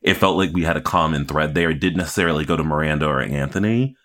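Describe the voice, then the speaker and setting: A man with a remarkably deep voice talks as a podcast co-host in a casual TV recap. His manner is opinionated and emphatic, with a playful streak. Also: quick pace, fairly bright tone.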